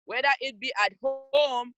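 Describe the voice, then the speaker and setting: A woman's voice: sits high, fairly even intonation, a little slow, lo-fi sound. A woman from Cameroon, giving an emphatic, scolding motivational talk to young people over a video call. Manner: emphatic, scolding, direct to listeners. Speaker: youth program speaker.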